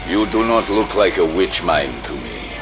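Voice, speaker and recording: A man acting a hulking, menacing, sardonic superhuman berserker warrior in an audio drama, gravelly and taunting. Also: sits low, very animated, measured pace, over music, exaggerated voice, low-quality recording. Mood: suspicious menace.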